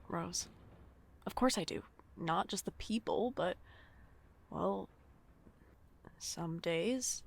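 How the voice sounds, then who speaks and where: A woman's voice: fairly low pitch, vocal fry. A woman playing a wistful, haunted figure recording a private log in a horror audio drama, hesitant and brooding. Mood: uneasy, confiding.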